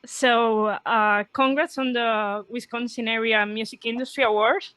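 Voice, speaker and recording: A woman's voice: a little high, steady tone, measured pace, fairly bright tone. A woman hosting a podcast, asking questions in a friendly, enthusiastic way with frequent laughter. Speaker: podcast host. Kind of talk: casual interview.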